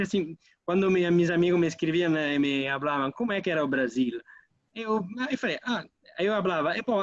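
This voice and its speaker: A man's voice: fairly high pitch, no echo, highs cut off. A man from Italy, a guest on a radio interview who talks hesitantly with many fillers and restarts. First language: Italian.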